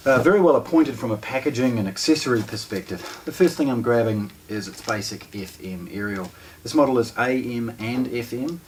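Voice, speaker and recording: A man in his forties with a New Zealand accent giving an informal, explanatory unboxing demonstration, pausing mid-sentence as he goes. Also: mid-range voice. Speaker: product presenter for a hi-fi shop. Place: indoor room with shelves of discs and a wooden table. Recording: distant mic, noticeable background noise.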